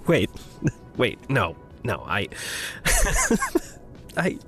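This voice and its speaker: Audio drama role: gentle, wry young man, halting and playful, animated intonation, unhurried. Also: lowish voice, soft background music, exaggerated voice. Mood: halting, sheepish. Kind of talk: naturalistic audio drama acting.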